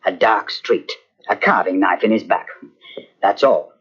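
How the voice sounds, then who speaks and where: A blunt detective. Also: male voice, low-pitched, a little slow, fairly bright tone, slightly rough, soft background music, heavily acted. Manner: blunt, terse. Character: plain-spoken northern English detective. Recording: dull old radio transcription.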